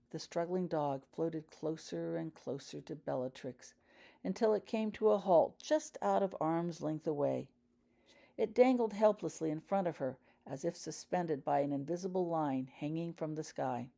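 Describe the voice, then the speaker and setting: A low-voiced woman reads a dramatic story aloud. Her tone is on the dark, mellow side, and she narrates from a script as an author reading her own fiction. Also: creaky.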